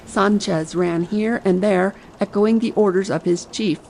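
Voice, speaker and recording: Gravelly-edged woman narrating an adventure novel. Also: medium pitch, measured pace, rough recording. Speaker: audiobook narrator. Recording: faint ambient noise behind. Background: soft sea ambience.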